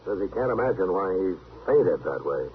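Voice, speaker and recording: An American actor playing a steady, wry frontier town marshal in an old-time radio western, speaking in a measured, dry way. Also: male voice, low voice. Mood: dryly amused. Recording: tinny, hissy old radio recording.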